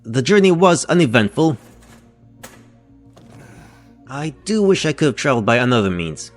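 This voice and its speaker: A man's voice: mid-range voice, big pitch swings, fast, soft background music, lightly acted. A man playing an eager, courteous physicist in a scripted audio drama, speaking formally and politely. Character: eager, courteous male physics scientist newly arrived.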